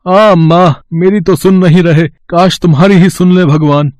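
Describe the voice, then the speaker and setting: Man playing a high-voiced, troubled poor villager. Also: normal speed, dry recording, studio quality, natural voice. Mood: downcast. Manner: earnest, plaintive, expressive. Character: poor, troubled, kind-hearted village vegetable seller.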